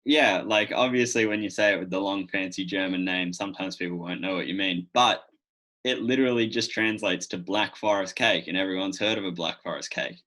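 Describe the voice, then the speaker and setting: A man's voice: low-pitched, a little fast, bright, forceful tone. A man with an Australian accent chatting casually as a guest, easygoing and colloquial. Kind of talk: casual chat.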